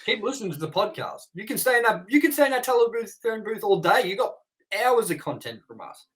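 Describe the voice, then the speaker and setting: A man's voice: high-pitched, very animated, a little fast, a bit bright. A young man hosting a casual review podcast, joking crudely and swearing often. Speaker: podcast host.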